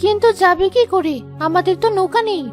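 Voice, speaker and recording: Lady doing a brave devoted village wife in a cartoon, super high voice, talks quick, urging, music behind. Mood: concerned. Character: poor fisherman's devoted wife, brave and encouraging. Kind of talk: dramatic cartoon voice acting.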